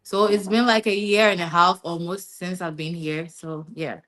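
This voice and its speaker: A young adult woman from Ghana, a master's student answering interview questions in a relaxed, rambling way and often tagging on "yeah" and "basically.". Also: on the low side, moderate pace. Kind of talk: casual interview chat.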